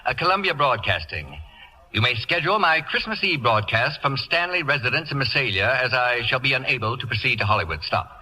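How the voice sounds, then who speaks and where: Pompous bossy celebrity, very bright forceful voice, swoopy and biting, old crackly radio play. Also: male voice, mid-range voice, sing-song, a little fast. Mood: imperious. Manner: grandiose, biting, theatrical. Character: pompous, imperious, acid-tongued celebrity houseguest. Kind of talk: 1940s radio comedy acting. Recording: noisy vintage radio recording with clicks.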